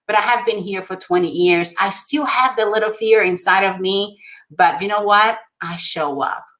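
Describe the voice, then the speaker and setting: A woman's voice: mid-range voice, moderate pace, a bit bright. A woman with a Brazilian Portuguese accent tells her personal story as a guest on a talk show. Her delivery is earnest and insistent. She stacks up repeated phrases and keeps checking in with "right?". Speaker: guest author.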